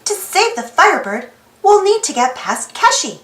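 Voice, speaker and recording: A woman's voice: very high-pitched, big pitch swings, moderate pace, fairly bright tone, echoey room, pitched above the performer's own voice, heavily acted. A woman voicing an eager, hopeful little girl with an earnest, exclamatory manner in a storybook. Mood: determined.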